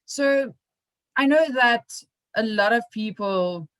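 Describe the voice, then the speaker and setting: A woman's voice: a little high, slow pace, a bit bright, dry recording. A woman chatting casually as a podcast interviewer, with a careful, tentative way of putting things.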